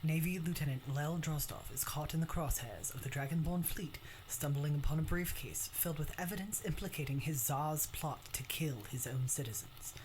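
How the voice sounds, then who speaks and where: A woman's voice: low voice, normal speed, few pauses, darkish tone, slight background noise, rough recording. A woman reading scripted, dramatic narration as a trailer narrator.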